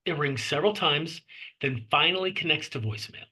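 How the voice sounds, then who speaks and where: A man narrates the action lines of a screenplay. His voice is slightly high and bright, with a clearly husky grain, as he reads scripted scene directions. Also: fairly quick, rough recording. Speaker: narrator reading stage directions.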